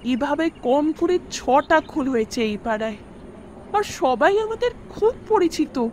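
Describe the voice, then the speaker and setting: A woman's voice: high voice, animated, a little slow, darkish tone, slight background noise. A woman playing an anxious mother in an audio drama, recounting eerie events in an earnest, dramatic way. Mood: anxious, disturbed. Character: worried mother recounting eerie deaths around her son. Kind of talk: Bengali audio story acting.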